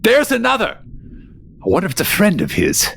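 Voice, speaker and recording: A man playing a haughty, theatrical male adventurer with a creaky voice and halting, wry delivery in a fantasy audio drama. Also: sits high, huge pitch swings, fairly bright tone, exaggerated voice. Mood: gleeful, amazed.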